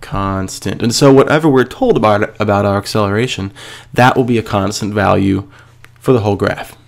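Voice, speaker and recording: Male teacher, stuffy with a cold, low and gravelly, patient and methodical. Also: fairly quick. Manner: patient, step-by-step, asks and answers himself. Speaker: physics teacher. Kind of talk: screencast lesson explaining a worksheet.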